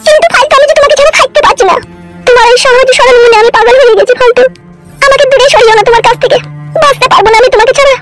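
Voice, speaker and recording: A woman's voice: very high voice, fairly even intonation, very fast, over loud music. A woman voicing a lovesick, pleading, insistent young woman in an amateur comedy cartoon.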